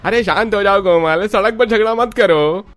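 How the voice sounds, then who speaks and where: A man's voice: sits high, normal speed, a bit bright, no room sound, voice pushed up. A man voicing a poor cartoon husband, pleading and placating his wife. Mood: pleading, hurt.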